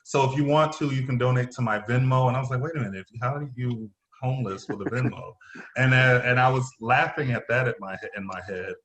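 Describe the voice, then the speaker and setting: A man's voice: mid-range voice, brisk, fairly bright tone, muffled recording. A man chatting casually as a playwright about his own writing. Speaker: playwright, acting teacher. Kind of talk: casual chat. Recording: roomy, faintly noisy remote call.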